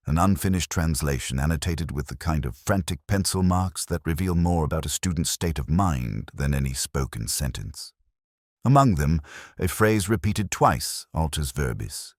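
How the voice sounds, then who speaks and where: Man, extremely low voice, measured brooding mystery narration, expressive rise and fall, dry close sound. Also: no echo. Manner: measured, literary, brooding delivery. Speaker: audiobook-style narrator of a detective mystery. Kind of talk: scripted first-person dramatic narration.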